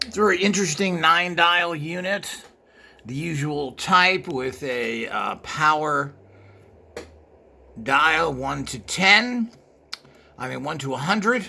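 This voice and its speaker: A man's voice: fairly high pitch, slow pace, vocal fry, long pauses, sharp tone. A man presenting an informal show-and-tell in a hesitant, rambling way, recorded indoors behind a handheld camera.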